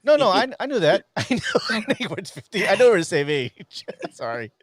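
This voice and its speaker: A man in his forties hosting a casual live-stream chat, rambling with halting starts and fillers. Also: high voice, huge pitch swings, husky, dry recording. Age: 40s. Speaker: host.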